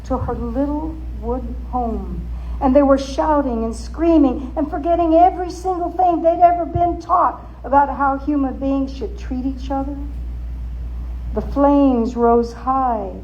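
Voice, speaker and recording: A woman giving a theatrical, suspenseful dramatic reading of a story, with deliberate emphasis. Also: high voice, fairly even intonation, dark tone, highs cut off, slight background noise. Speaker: author and storyteller.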